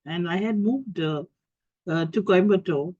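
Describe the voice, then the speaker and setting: A middle-aged woman with an Indian English accent speaks through a cold and cough, picking her words with many pauses, fillers and restarts. Her voice comes over a dull, lo-fi video-call line with a dry, close sound. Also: on the low side, mellow, no room sound. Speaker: guest speaker. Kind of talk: talk to an online group.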